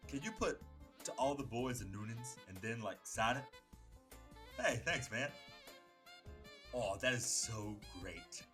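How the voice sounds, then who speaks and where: A man plays a cocky comic book hitman with a soft heart. He is chatty, slangy and opinionated, his pitch jumping around over music. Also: expressive, a little slow, long pauses. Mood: eager, sheepish. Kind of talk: dramatic comic book dub.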